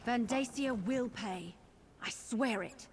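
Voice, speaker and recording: An actress playing a determined young adventurer in a video game, speaking in an earnest, emphatic, fiery way. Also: female voice, a little high, takes it slowly, slight background noise. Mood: angry, vowing. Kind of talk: video game character dialogue.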